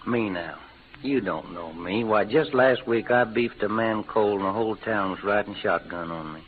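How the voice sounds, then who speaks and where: A man acting a rough-talking cowboy outlaw in a 1940s radio western, with a folksy American Western drawl. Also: fairly low pitch, normal speed, lightly acted. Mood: gruff, self-mocking. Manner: folksy, slangy cowboy talk. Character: rough-talking cowboy playing the bad outlaw for love's sake. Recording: old noisy radio recording, phone-like band.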